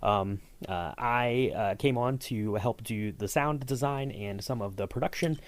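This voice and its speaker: A man hosting a casual behind-the-scenes podcast chat, asking questions in a halting, rambling way. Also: mid-range voice. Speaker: podcast host and sound designer.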